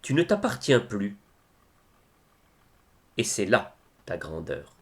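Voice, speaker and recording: A man reciting devotional poetry in a solemn style, with measured, expressive phrasing and pauses. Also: lowish voice, vocal fry.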